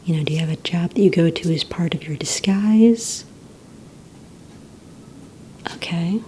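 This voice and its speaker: A woman playing a polite, organized receptionist at a supervillain recruitment center in a soft-spoken, breathy ASMR roleplay, with gentle, hesitant, courteous questioning. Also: on the low side, fairly quick, a little hiss or hum, lightly acted. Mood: gentle, businesslike. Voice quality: soft, breathy, near-whisper.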